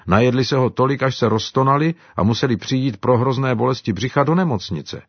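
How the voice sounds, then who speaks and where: A talkative African helper, voiced by a male reader, slightly raised and lively. His voice is husky and dry, and the recording is dull. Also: medium pitch, no room sound, voice pushed up.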